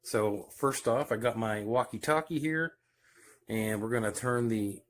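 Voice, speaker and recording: A man's voice: mid-range voice, a little slow. A man giving a casual, hands-on demonstration as the host of a home video. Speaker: host demonstrating CB radio gear. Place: at home by a radio base station. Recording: clear background noise, clicks.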